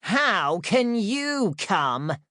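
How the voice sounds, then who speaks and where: A woman voicing shrill, cruel young stepsisters in a fairy tale, with a screechy, mocking delivery. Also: big pitch swings, extremely slow, bright, forceful tone, no room sound, studio quality, exaggerated voice. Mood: scornful. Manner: mocking, cackling.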